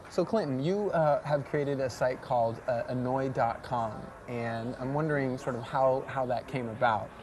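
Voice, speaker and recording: A man's voice: mid-range voice, moderate pace, few pauses, background noise. A man interviewing a guest, eager and often completing the guest's sentences. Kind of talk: street interview.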